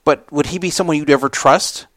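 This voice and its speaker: A man's voice: fairly high pitch, a little fast, a bit bright, no echo, studio quality. Emphatic man hosting an opinionated talk show. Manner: rambling, emphatic, repeats himself for emphasis. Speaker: podcast host. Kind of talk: casual opinionated radio talk commentary.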